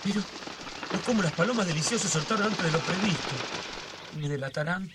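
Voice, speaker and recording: A man's voice: high-pitched, brisk, heavy background noise, lightly acted. An actor playing a nervous, obsessive young man from the neighborhood in a radio drama, muttering and repeating himself with a Buenos Aires accent. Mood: panicky. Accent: Argentine, Buenos Aires.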